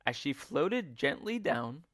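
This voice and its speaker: A man narrates a children's storybook with theatrical expression. His pitch moves widely while the pace stays slow and measured. The sound is dry and close. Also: sing-song, fairly bright tone, dry recording. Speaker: teacher reading a children's book aloud.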